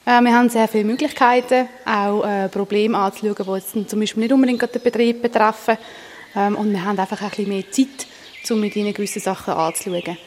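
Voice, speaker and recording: A young Swiss woman runs a farm and answers questions with plenty of fillers. Her voice sits at an average pitch, with a long reverb and faint birds around her. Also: steady tone, fast talker, a little hiss or hum. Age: 20s. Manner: hesitant, many fillers. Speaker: farm manager. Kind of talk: interview answers.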